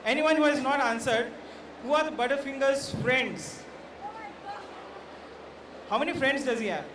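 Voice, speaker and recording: A man with an Indian accent and a fairly high voice hosts a quiz for a live audience. He sounds distant from the microphone, in a very echoey space. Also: a little slow. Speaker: art director and quiz co-host. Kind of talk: informal live event address to an audience. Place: comic convention event. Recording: echoey, noisy event venue, distant pickup.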